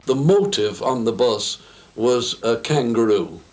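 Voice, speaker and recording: A man speaks slowly in an unscripted interview, at a middling pitch. He is hesitant, often stopping on a filler before carrying on with his account. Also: lively intonation, darkish tone. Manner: hesitant, pausing with frequent uhs.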